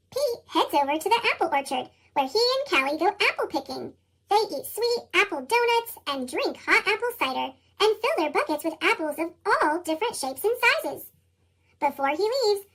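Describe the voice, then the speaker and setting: An American woman reading a children's storybook expressively, her voice processed into a chipmunk effect. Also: fairly bright tone. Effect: sped-up chipmunk voice, pitched very high. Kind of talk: expressive scripted read-aloud narration for young children.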